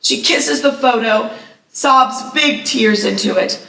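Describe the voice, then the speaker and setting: A woman's voice: a little high, slow pace, vocal fry, bright, forceful tone. A woman reading scripted stage directions as narrator at a live table read. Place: live festival event, echoey venue. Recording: echoey room, distant mic.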